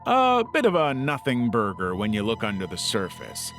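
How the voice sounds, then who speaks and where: A man's voice: on the low side, wildly swooping pitch, moderate pace, fairly bright tone. A man reading a scripted video essay as its narrator. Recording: music under the voice. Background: background music.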